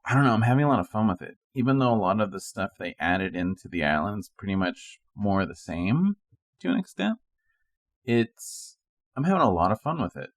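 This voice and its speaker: A man's voice: fairly low pitch, big pitch swings, fairly quick, no room sound, clean studio sound. A man co-hosting a podcast, chatting casually in a laid-back, informal way. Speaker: podcast co-host. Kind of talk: casual chat.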